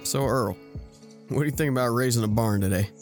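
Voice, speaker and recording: A man's voice: mid-range voice, fairly quick, soft background music, natural voice. A man playing a patient, protective farm brother, earnest and coaxing, in an audio drama.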